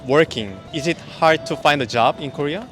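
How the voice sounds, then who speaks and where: A man hosting a street interview, asking short questions and giving soft "mm" replies. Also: a little high, moderate pace, soft background music. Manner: short prompts and soft "mm" backchannels.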